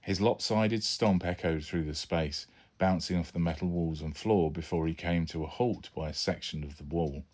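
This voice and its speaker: A man's voice: low voice, moderate pace, hardly any pauses, darkish tone. A man reading his own children's adventure story as an audiobook, acting out the characters as he goes. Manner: storytelling, voices each character. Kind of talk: scripted audiobook narration.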